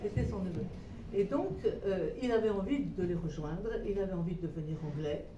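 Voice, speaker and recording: A woman's voice: lowish voice, fairly quick, lo-fi sound, background noise. A woman presenting her book to a small audience in a meeting room. She tells it as a digressive story, full of hesitations and restarts. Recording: roomy echo, voice far from mic.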